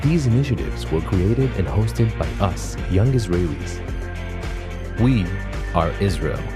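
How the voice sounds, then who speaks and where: A man narrates a promotional video in a scripted, inspirational way. His voice is low, and his pitch moves a lot as he leans hard into key words. Loud music plays underneath him the whole time. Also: expressive, measured pace. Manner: inspirational, emphatic.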